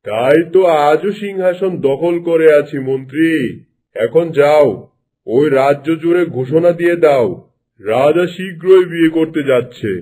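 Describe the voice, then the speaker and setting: A man's voice: a little high, measured pace, warm tone. A man voicing a vain, greedy, scheming king in a cartoon, with an imperious, commanding manner. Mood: smug. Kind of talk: Bengali cartoon dubbing.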